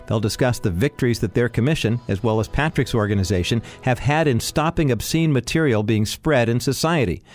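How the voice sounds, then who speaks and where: A man announcing a radio program, reading a scripted introduction in a measured way. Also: mid-range voice.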